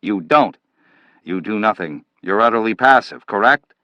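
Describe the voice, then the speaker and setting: A man's voice: lowish voice, lively intonation, a little slow, a bit bright, no room sound, dull audio. A man voicing a brusque, intense head of a time-travel agency, speaking emphatically and impatiently in a story reading.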